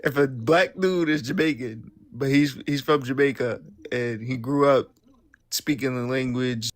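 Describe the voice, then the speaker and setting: A man's voice: medium pitch, unhurried. A young man arguing in a slangy, offhand way on a debate call, often hesitating. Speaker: caller, debate guest. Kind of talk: casual argument.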